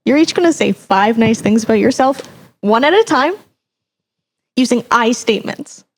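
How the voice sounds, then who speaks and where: Woman, high voice, bossy, no-nonsense, casual instructions, faint noise behind. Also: animated, normal speed.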